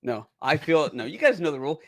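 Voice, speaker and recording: A man's voice: fairly high pitch, very animated, fast, a bit bright. A man hosting a gaming podcast with casual, jokey banter and mock-grand flourishes. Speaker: podcast host.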